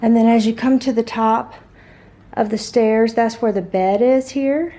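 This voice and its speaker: Woman doing a hotel room tour vlog, bit raspy from travel, fairly high voice, upbeat, camera mic in the room. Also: fairly even intonation, measured pace, mellow. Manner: chatty, upbeat, tour-guide pointing out details. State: slightly raspy after overnight flight. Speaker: travel vlogger. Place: hotel suite, indoors.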